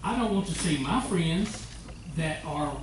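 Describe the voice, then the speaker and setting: Older guy on city council, loud and defensive, far off mic in a boomy noisy hall. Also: animated, measured pace. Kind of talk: council meeting remarks. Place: council chamber.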